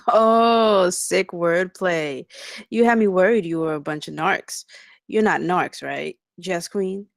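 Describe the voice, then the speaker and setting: A woman's voice: on the low side, slow pace, creaky, no echo, clean studio sound. A woman playing a cocky, suspicious frat bro in his twenties at a comedy script table read. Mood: cocky, probing.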